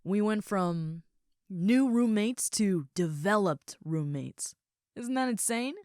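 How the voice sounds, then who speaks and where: A woman playing a caring, affectionate young ogre roommate in an intimate comfort roleplay, speaking softly and sincerely in a rambling, reassuring way. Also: mid-range voice, lively intonation, slow pace, no room sound, clean studio sound. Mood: earnest, rambling.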